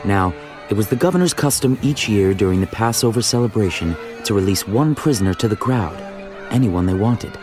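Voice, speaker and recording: A man narrating scripture from a script in a measured, dramatic storytelling manner. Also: fairly low pitch, lively intonation, a little mellow. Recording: music behind the voice.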